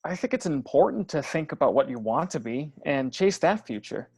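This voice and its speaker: An actor playing an earnest, understated, hopeful inventor in a naturalistic play reading. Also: male voice, fairly high pitch, lively intonation, normal speed, a little mellow, slightly rough, no echo. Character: earnest, persistent romantic inventor with big hopes. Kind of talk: naturalistic stage drama read over video call.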